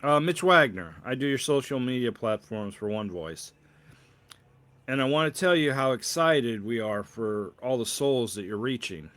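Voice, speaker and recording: A man's voice: mid-range voice, animated, moderate pace, a bit bright, no echo, lightly acted. A man voicing an eager, awkward churchgoing husband in a storybook, chatty and keen to please.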